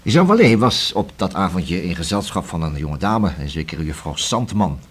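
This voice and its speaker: Husky police investigator in a radio play, very formal n wordy, polite but wont let go, big swings in pitch. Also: male voice, big pitch swings, full character voice. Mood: measured, insistent. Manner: formal, deliberate, long-winded. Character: persistent, courteous male police investigator questioning a suspect. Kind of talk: Dutch radio play acting.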